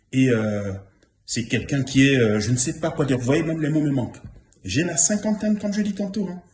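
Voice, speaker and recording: A man's voice: medium pitch, darkish tone. A man in his fifties giving a testimonial, earnest and repeating himself for emphasis. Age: 50s. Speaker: former trainee giving a testimonial. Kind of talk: spoken testimonial. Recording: roomy echo.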